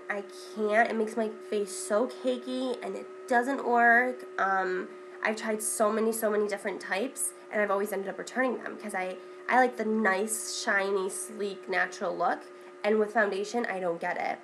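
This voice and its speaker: A woman's voice: mid-range voice, normal speed, music in the background, poor audio. Congested young woman chatting about makeup. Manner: chatty, with many ums and repeated words. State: congested from a cold. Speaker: beauty vlogger. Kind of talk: casual makeup tutorial chat.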